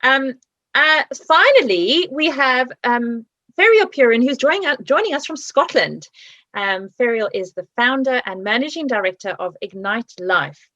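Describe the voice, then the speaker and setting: South african woman host with a higher voice, upbeat introductions, muffled dry video-call sound. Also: expressive, a little slow, bright, forceful tone, dry recording. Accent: South African, slightly British. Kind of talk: hosting online talk series.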